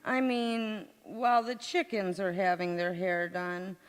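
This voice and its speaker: A woman's voice: medium pitch, flat delivery, a little slow, clear, resonant tone, close to an everyday voice. A woman playing a frazzled, hapless housewife in old-time radio sitcom style, with dry comebacks and flustered wailing. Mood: dazed, muddled. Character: frazzled, hapless housewife overwhelmed by a cooking disaster. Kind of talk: golden-age radio sitcom acting on stage. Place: large indoor hall, live stage performance. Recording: echoey hall, community theatre stage.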